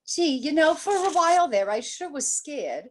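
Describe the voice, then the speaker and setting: Naive young sweetheart, extremely high girlish voice, animated, hesitant, gushing, old-time radio drama. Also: moderate pace. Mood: chatty, gushing. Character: naive, chatty, easily frightened young wife or girlfriend. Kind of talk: 1940s radio drama acting.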